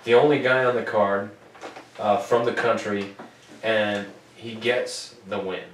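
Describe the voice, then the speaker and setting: A man's voice: fairly low pitch, fairly even intonation, unhurried. Cursing, joking man on a podcast. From United States. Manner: loose, profane, joking. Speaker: podcast co-host. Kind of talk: casual sports podcast chat. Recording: roomy, distant mic with faint noise.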